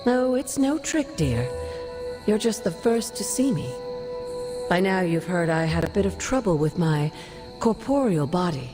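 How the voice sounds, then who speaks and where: Game role: a serene, ancient sorceress's ghost, wry and fond, with a swooping, lively delivery over prominent music. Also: female voice, fairly low pitch. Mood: wry amusement. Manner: wry, unhurried, affectionate. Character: serene, playful ghost of a thousand-year-old sorceress. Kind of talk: video game voice acting.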